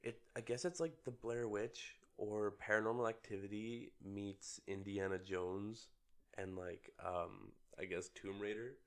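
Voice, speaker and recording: Young man, very low voice, sick with a cold, rambling, casual podcast chat. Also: steady tone, unhurried. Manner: rambling, lots of filler words. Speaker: podcast co-host.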